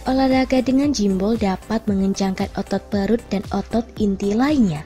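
A woman reading scripted instructional narration in a measured, clearly enunciated way. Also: medium pitch, dark tone. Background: background music.

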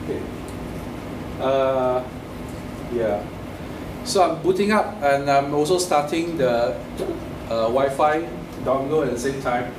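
A man's voice: medium pitch, slow pace, long pauses. A man in his forties giving a casual hands-on tech talk at a meetup, explaining step by step and restarting phrases as he goes. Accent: Singaporean English. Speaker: meetup speaker. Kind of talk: live tech talk with slides. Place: meeting room with projector. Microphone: room camera mic from a distance. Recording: echoey room, distant mic, noisy.

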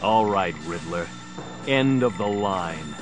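A man's voice: low-pitched, expressive, takes it slowly. An actor playing a stern, determined male crime-fighting hero in an audio drama, terse and brooding. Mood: grimly defiant. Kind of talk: full-cast audio drama acting. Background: dramatic music.

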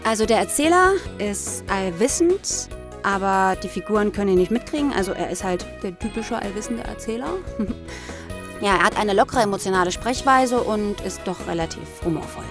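A woman giving casual, explanatory commentary as a cast member. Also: medium pitch. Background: background music.

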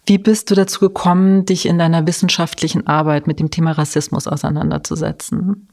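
A woman's voice: sits low, little pitch movement, a little fast, creaky, a little mellow. A woman hosting a podcast interview, asking casual questions and affirming often with short hums. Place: state representation office, indoors.

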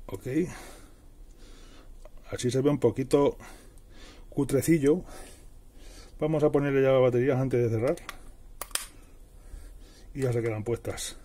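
A man's voice: mid-range voice, steady tone, measured pace, pauses often, mellow, a little hiss or hum. A middle-aged man giving a casual hands-on how-to, explaining plainly step by step. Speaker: presenter of a DIY tutorial. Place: indoors at a worktable.

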